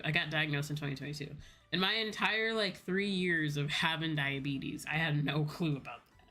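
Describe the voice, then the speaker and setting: An American woman livestreamer rambling casually to her viewers in an animated storytelling way, acting out bits of dialogue. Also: fairly low pitch. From United States. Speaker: VTuber livestreamer. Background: background music.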